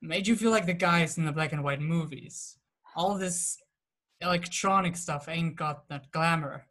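A man's voice: on the high side, unhurried, bright, forceful tone. Young man acting a grumbling, impatient gangster boss. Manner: slangy, grumbling, exasperated. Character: gruff, impatient, old-fashioned male gangster boss.

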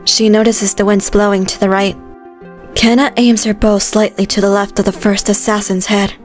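A woman's voice: mid-range voice, little pitch movement, normal speed, soft background music. A woman reading scripted story narration as a narrator.

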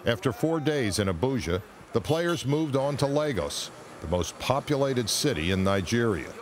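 A man's voice: medium pitch, very animated, moderate pace. A man narrating a sports feature in a scripted reporter delivery.

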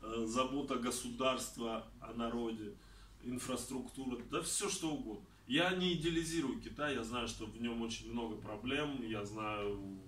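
A man in his thirties from Kazakhstan talks about travel at a middle pitch, gesturing as he answers questions. He is picked up by a camera set well back in a small room, so his voice sounds distant and echoey. A faint hum of background noise sits underneath. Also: normal speed. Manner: animated, gestures a lot while talking. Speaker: traveler giving a talk. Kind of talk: conversational talk answering audience questions. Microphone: far camera.

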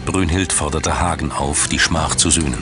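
Very deep-voiced male documentary narrator. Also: steady tone. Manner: measured, solemn storytelling. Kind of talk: scripted dramatic narration. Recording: studio voice-over over music. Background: background music.

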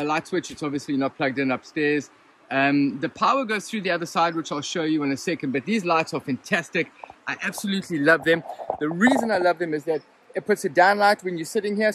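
A man's voice: fairly high pitch, a bit bright, a little hiss or hum. Chatty man, handheld phone. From Southern Africa. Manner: chatty, rambling, with frequent ums and restarts. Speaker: vlogger reviewing his rooftop tent. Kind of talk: casual hands-on gear review. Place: inside a rooftop tent, then outdoors beside a vehicle in the bush. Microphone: handheld phone camera at arm's length.

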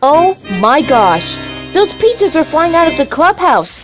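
A woman's voice: high voice, big pitch swings, measured pace, faint music behind. A woman voicing a concerned, easily amazed girl in a kids' cartoon.

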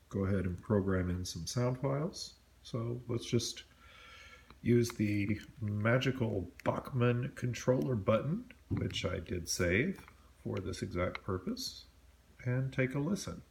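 A man's voice: on the low side, vocal fry, a little mellow, a little hiss or hum. A man casually narrating a hobby electronics project at his workbench, explaining things plainly with small fillers. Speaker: model railroad hobbyist vlogger. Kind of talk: casual show-and-tell narration.

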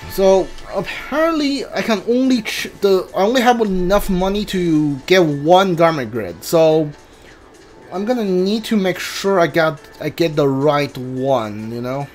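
Casual game streamer, slow halting delivery, thinking aloud, music playing behind. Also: medium pitch, expressive, a little mellow. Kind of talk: casual gameplay commentary.

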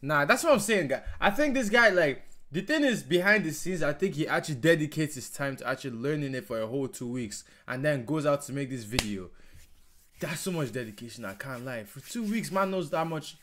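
Young male reaction host, lively delivery, slightly high register. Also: fairly quick. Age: young adult. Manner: excitable, talks to viewers directly, says bro and guys a lot. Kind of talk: casual reaction commentary. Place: small bedroom with red lighting. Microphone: over-ear headset.

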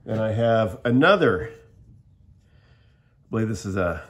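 Low-voiced man casually showing knives. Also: lively intonation, slow pace, slight background noise. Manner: rambling, plainspoken, restarts and trails off. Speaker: product reviewer. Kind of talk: casual show-and-tell review. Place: indoors at a table, filming the tabletop.

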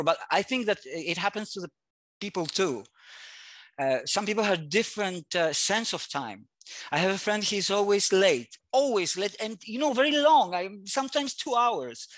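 A man in his forties whose first language is Greek, talking over a headset on a video call as an interview guest, halting often and searching for words. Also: high voice, fairly bright tone, dry recording. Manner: halting, searching for words with many fillers and restarts. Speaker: guest artist. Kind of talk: casual interview conversation. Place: room at home.

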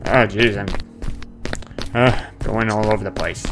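A man's voice: lowish voice, fairly even intonation, unhurried, music in the background. A man giving casual let's play commentary on a horror game, muttering asides, exclaiming nervously and making playful little noises. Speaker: gamer commentating on his horror game playthrough.

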